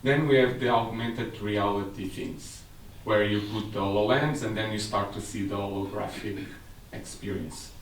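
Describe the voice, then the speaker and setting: Portuguese-accented man, seminar presenter, lowish voice, faint room noise. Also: steady tone, normal speed. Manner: explanatory, slightly non-native phrasing. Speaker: technical specialist presenter. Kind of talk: conference presentation. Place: seminar room with a large audience. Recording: echoey room from far off.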